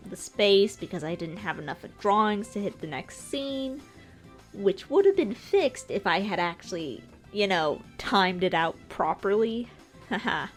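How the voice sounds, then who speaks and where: A woman narrating her own art video as a casual commentary, informal and lightly self-deprecating, with a joking touch. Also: medium pitch. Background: music.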